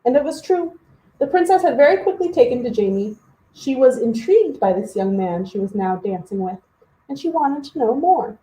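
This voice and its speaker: Female storybook reader for young kids, soft mellow tone, expressive delivery with direct chatty asides. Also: a little high, normal speed, roomy sound, slight background noise, rough recording. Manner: animated, talks directly to young children. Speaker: children's story hour host.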